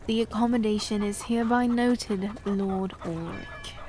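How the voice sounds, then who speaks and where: An actress playing an ageless queen who speaks in a measured, gracious and guarded way. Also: medium pitch, steady tone, dark tone, lightly acted. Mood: formal. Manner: measured, formal and gracious, coolly reserved. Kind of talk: audio drama acting. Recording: music bed under the voice.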